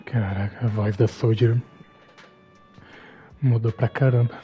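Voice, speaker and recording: A woman's voice: extremely low pitch, fairly even intonation, measured pace, highs cut off, soft background music. A woman with a Brazilian Portuguese accent, casually commenting as she streams a game.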